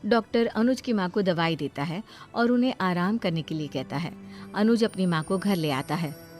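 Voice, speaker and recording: A woman's voice: on the low side, animated, moderate pace, a little mellow, faint music behind. A woman storyteller reading scripted narration for a cartoon bedtime story.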